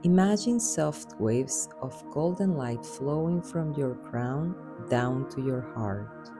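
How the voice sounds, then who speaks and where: A woman leading a guided meditation in a soothing, unhurried manner with measured phrases. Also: rumbling low, lively intonation, over music. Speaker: guided meditation host and Reiki practitioner.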